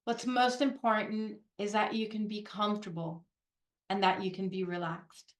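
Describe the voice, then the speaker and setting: A woman leading a guided meditation in a gentle, unhurried way, pausing between phrases. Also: mid-range voice, steady tone, roomy sound. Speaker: guided meditation leader, Reiki practitioner. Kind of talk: guided meditation, soothing instruction.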